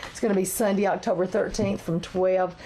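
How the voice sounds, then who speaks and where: Woman host with a raspy voice, mellow tone, chatty local TV style. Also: medium pitch, steady tone, a little fast. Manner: chatty, laughs often. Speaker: chamber of commerce talk show host. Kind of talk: casual local TV chat. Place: local TV studio.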